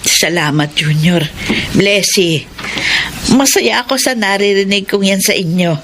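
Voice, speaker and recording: A woman's voice: medium pitch, measured pace, fairly bright tone, slight background noise, natural voice. A woman with a Filipino accent playing a devoted, self-sacrificing widowed grandmother of sixty in a radio drama, earnest, tender and plain-spoken. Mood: tender, caring. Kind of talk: radio drama acting and first-person narration.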